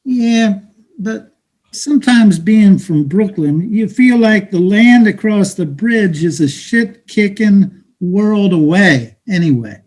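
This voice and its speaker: Guy acting bitter streetwise brooklyn dude in a stage play, slangy n cynical, high voice, takes his time. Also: lively intonation, darkish tone, heavily acted. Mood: bitter, weary. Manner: slangy, cynical, halting delivery. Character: bitter, streetwise Brooklyn-born man, grandson of a Haitian immigrant. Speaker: stage actor. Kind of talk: dramatic play reading.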